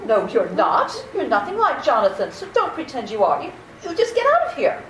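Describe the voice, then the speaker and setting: A woman's voice: on the high side, huge pitch swings, fairly quick, a bit bright. A woman playing a prim, sweet but easily indignant old aunt, genteel and fussy, in old-time radio theater style. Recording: echoey, noisy, distant stage recording.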